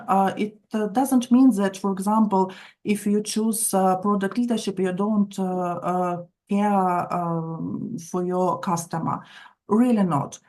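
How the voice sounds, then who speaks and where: A woman with a Ukrainian accent gives an explanatory online lesson. Her delivery is hesitant and broken up by many fillers, with a mid-range pitch. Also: darkish tone. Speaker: marketing instructor. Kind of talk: online training session, explanatory lecture.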